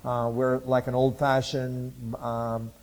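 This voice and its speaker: Older guy in his 60s from ohio, rambling church talk, lots of uhs and restarts, middle-pitched voice. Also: flat delivery, a little mellow. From Ohio, USA. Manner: halting and rambling, many filler sounds and restarts. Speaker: Bible college missions teacher. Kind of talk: informal testimony talk to a church group.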